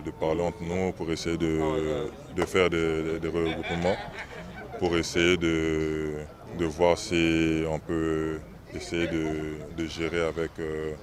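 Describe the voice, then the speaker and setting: Young male pro athlete, very low and even voice, hesitant interview answers. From Republic of the Congo. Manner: hesitant, many fillers and restarts. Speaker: professional basketball player.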